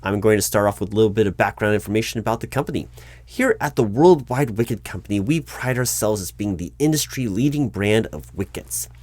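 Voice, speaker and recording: A man's voice: fairly low pitch, lively intonation, a little fast, darkish tone, dry recording. Dry-sounding, formal corporate man interviewer. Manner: formal, wordy, politely corporate. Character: polite, officious male corporate recruiting manager. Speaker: roleplay performer as corporate recruiting manager. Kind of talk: one-sided ASMR job interview roleplay, spoken aloud.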